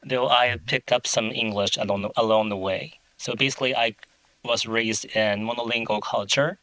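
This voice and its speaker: A man from Japan, a native Japanese speaker with a Japanese accent, talking as a guest in a casual interview, halting and searching for words. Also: medium pitch, steady tone, sharp tone, no room sound, low-quality recording. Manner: halting, searches for words, many "you know" fillers. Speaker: translator, guest.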